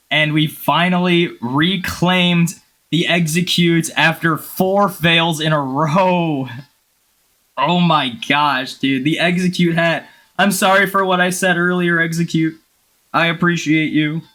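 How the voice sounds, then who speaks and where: A man with a cold giving casual, excitable, slangy gaming commentary. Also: on the high side, a little slow. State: has a cold. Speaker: gaming video host.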